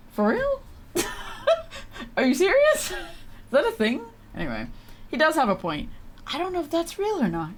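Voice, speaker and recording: Giggly woman streaming with lively pitch. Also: a little hiss or hum. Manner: giggly, reacts aloud while reading. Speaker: video game streamer. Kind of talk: casual livestream chat with reading aloud of game text.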